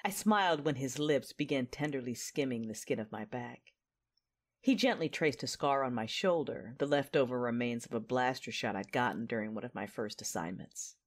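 A woman playing a resolute, battle-hardened female Jedi who tells her story in measured, dramatic first-person narration for an audio drama. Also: a bass voice. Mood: intimate, reflective. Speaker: narrator and lead character.

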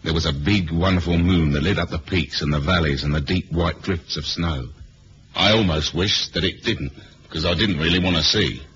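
An actor playing a wry, wary spy hero who narrates a 1970s radio drama in a dry, understated way. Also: male voice, sits low, moderate pace, fairly bright tone. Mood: sombre narration. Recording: dull, hissy old radio recording.

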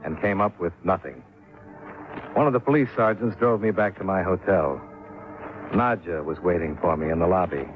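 A man plays a wry private investigator in old-time radio. The voice is fairly low, dry and clipped. It comes through thin and tinny, with music around it. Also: normal speed, lightly acted. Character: wry, hard-boiled male freelance insurance investigator. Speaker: lead actor, narrating in character. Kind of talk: 1950s hard-boiled radio drama acting.

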